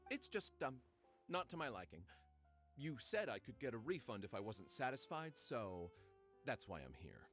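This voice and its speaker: A man's voice: mid-range voice, very animated, normal speed, fairly bright tone, soft background music. A man voicing a hesitant, evasive customer in a video game, stumbling with ums.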